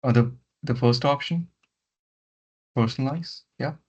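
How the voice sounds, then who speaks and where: A man giving step-by-step software training in an instructional way, often repeating his words, over an online meeting. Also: medium pitch, measured pace, breathy edge. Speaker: software trainer leading a session. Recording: muffled lo-fi online meeting audio.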